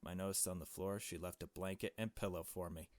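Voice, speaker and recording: A man's voice: low voice, fairly even intonation, a little fast, dry recording, lightly acted. A man voicing a curious, earnest small girl who narrates and asks questions in a visual novel game. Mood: reflective. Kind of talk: visual novel dialogue read aloud.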